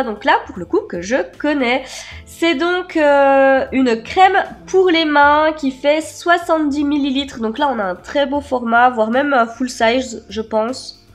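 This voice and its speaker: A woman chatting casually as she presents an unboxing, slightly hoarse and stuffed up a cold. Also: high voice. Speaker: beauty vlogger, unboxing host. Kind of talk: casual product unboxing chat.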